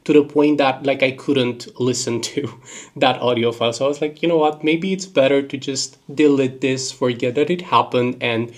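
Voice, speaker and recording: A young man with a congested nose from a cold, giving a chatty, rambling reading wrap-up in quick bursts. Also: mid-range voice. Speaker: book reviewer. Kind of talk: casual monthly reading wrap-up.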